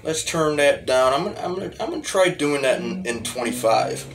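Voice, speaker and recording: Man, bright forceful voice, slightly high pitch, casual demo talk, rambling with repeated starts. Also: lively intonation, fairly quick, a little hiss or hum. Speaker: hobbyist talk box musician.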